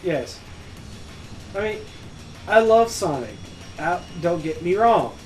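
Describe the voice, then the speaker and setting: Male gaming co-host, slightly high pitch, casual chat, game music in background. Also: big pitch swings, slow, darkish tone. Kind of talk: casual chat over gameplay. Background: video game music.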